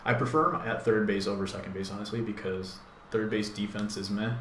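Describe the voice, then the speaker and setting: Deep-voiced man, echoey room, noisy background. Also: brisk. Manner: thinks aloud, laid-back, rambling with fillers. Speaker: gaming channel host. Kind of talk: casual live gameplay commentary.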